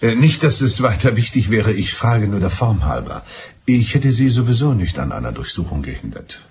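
A low-voiced, evasive husband. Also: male voice, sing-song, moderate pace, a little mellow, a little hiss or hum. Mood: evasive, polite. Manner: hesitant, evasive, hemming with throat clearing. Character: evasive, polite husband hinting at a murder confession. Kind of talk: radio drama acting.

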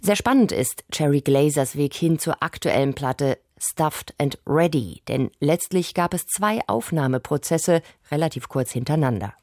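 A woman's voice: sits low, expressive, normal speed, few pauses, darkish tone, no room sound, clean studio sound. A woman reading a scripted radio music feature as its presenter. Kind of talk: scripted narration.

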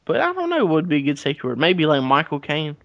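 Deadpan man on a dry podcast mic. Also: on the high side, fast, gravelly, dry recording, highs cut off. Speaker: podcast co-host. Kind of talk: casual banter.

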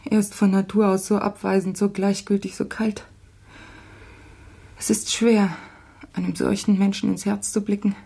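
A desperate young woman forsaken by her lover for a radio play. Her voice is husky and creaky with a dark, muted tone, and she pleads in short, anguished phrases. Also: medium pitch, little pitch movement. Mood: wounded despair. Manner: anguished, halting, dramatic delivery in short phrases. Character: desperate, abandoned young French mistress pleading with a friend. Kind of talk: German radio play acting.

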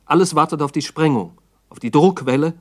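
A man's voice: fairly high pitch, a little fast. A man narrating a 1960s documentary radio drama in a terse, factual reporting manner.

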